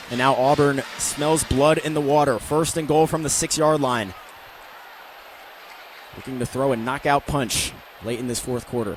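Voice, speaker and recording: American guy doing live football radio play-by-play, highish voice, clipped phrasing, loud stadium noise behind him. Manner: clipped, descriptive announcer phrasing.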